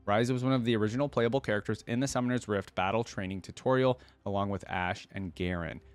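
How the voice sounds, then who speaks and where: A man's voice: on the low side, fast talker, clear, resonant tone, dry recording, soft background music. A man hosting a reaction video, reading text aloud and commenting on it in a casual, informal way. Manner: informal, addresses viewers as guys and dude.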